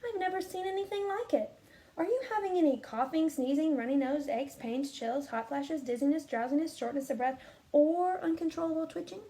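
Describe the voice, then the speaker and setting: A woman voicing a brisk, baffled male doctor from a storybook, exclaiming and questioning. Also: high-pitched, dark tone, pitched above the performer's own voice. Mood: astonished.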